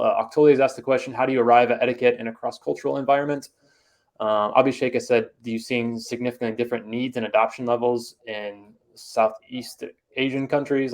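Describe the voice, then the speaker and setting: A man's voice: medium pitch, darkish tone. A man in his thirties moderating a panel over a video call, reading out audience questions with many fillers and restarts. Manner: loose, halting, many fillers. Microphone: headset on video call.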